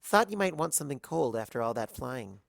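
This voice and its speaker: A man's voice: mid-range voice, very animated, a little fast, creaky, a bit bright, dry recording, studio quality. A man voicing an easygoing young female pony student in a story reading. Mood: easygoing, friendly.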